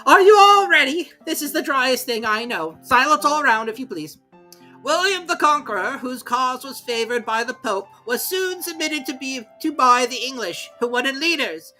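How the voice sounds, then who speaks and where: A woman's voice: high voice, bright, forceful tone, pitched above the performer's own voice, poor audio. A woman voicing a self-important, testy storybook mouse who lectures in a pompous, schoolmasterly way. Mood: bossy, important.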